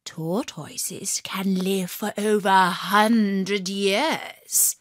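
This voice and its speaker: A woman's voice: mid-range voice, fairly even intonation, no echo, clean studio sound. A woman voicing a sly, know-it-all male fox in a children's story. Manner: slow and knowing.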